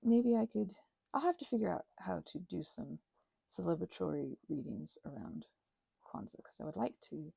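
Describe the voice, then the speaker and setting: A woman rambling casually and unscripted while crafting, halting and wandering off on tangents, with excitable, gushing exclamations. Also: fairly low pitch, vocal fry, breathy edge, dry recording. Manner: halting, digressive, with gasps and exclamations. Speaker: craft and ASMR channel creator.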